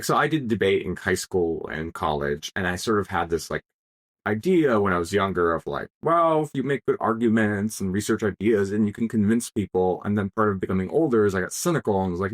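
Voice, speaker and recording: A man co-hosting a podcast whose first language is Polish. His voice sits on the low side, and he talks in a lively, joking way, full of "like" and "um". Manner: animated, jokey, lots of fillers. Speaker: podcast co-host. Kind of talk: casual chat.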